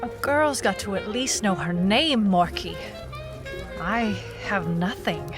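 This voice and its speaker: A hard-boiled woman detective speaks slowly in clipped, deadpan phrases over clear noir background music. She sounds terse and suspicious, a private eye with no past to lean on. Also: mid-range voice. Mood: weary, bitter. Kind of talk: noir detective audio drama, narration and dialogue. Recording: music playing behind the voice.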